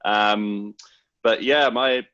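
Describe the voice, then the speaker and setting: Scottish man, low voice, reflective interview guest on a muffled remote line. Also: steady tone, drawn-out words, a bit bright, clear, resonant tone, no room sound. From Scotland. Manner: thoughtful, explains at length with restarts. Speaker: co-founder guest. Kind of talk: casual interview talk.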